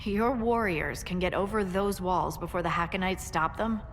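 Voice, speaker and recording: Actress as a practical scout officer, reverberant. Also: female voice, normal speed, close to an everyday voice. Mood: wry, businesslike. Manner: plain-spoken, matter-of-fact. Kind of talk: video game dialogue. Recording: reverberant, faint rumble.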